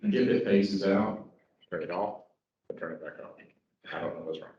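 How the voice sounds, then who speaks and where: A man with a very deep voice introduces a speaker in a plain, matter-of-fact way. The sound is dull and lo-fi, and he is heard from far off in an echoey room. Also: moderate pace. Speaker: club member introducing speakers. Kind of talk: reading an introduction, then fixing the mic.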